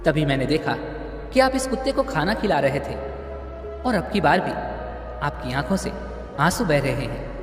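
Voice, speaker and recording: A man's voice: fairly high pitch, very animated, normal speed, long pauses, reverberant, long reverb tail, over music. A man voicing an earnest young man who pleads with a teacher about finding happiness. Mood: pleading. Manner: pleading, questioning.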